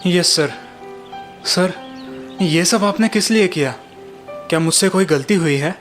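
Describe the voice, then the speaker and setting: Guy as a polite sorry student, earnest, high voice, cartoon story. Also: slow pace, fairly bright tone, faint music behind. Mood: puzzled, apologetic. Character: humbled, respectful male pupil, apologetic.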